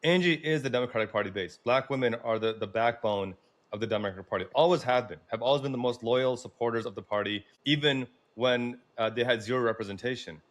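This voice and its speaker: A man's voice: mid-range voice, fast talker. An American man hosting a political interview, speaking in an emphatic, opinionated way as he advocates. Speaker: host.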